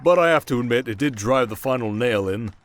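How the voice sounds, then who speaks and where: Guy doing a confident king for asmr roleplay, medium pitch, wry n measured, kinda regal, protective. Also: animated, lightly acted. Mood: wry, amused. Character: confident, shrewd young king, teasing and protective. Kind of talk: ASMR roleplay audio drama.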